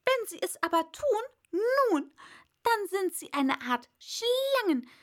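A woman's voice: very high voice, very animated, takes it slowly, voice pushed up, heavily acted. A woman voicing an indignant, scornful mother pigeon in a storybook, screeching and aggrieved as she guards her nest. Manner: scornful, accusing, exclamatory. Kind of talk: storybook character voice.

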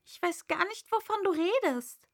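A woman's voice: extremely high pitch, lively intonation, normal speed, voice pushed up. A woman voicing an earnest, polite little girl in a storybook, protesting with some indignation. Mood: puzzled, polite. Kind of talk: storybook character voice.

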